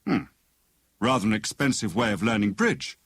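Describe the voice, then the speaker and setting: A man's voice: on the low side, lively intonation, moderate pace, dry recording. A man voicing a jovial, pompous husband who plays amateur detective in a teasing, mock-grand manner for an audiobook. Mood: mildly sceptical.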